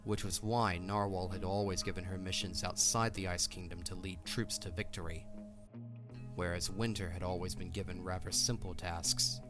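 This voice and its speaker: A man's voice: low voice, steady tone, normal speed, music in the background. A man narrating a fantasy audio drama as a scripted storyteller.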